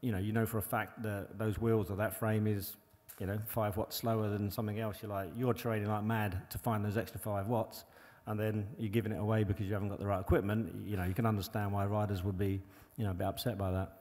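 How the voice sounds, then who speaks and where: Male panel guest, low-ish voice, loose rambling delivery, long reverb of a large venue. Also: little pitch movement, fast, few pauses, darkish tone. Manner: rambling, many "you know" and restarts. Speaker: bike engineer and former pro racer. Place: large hall on stage before an audience.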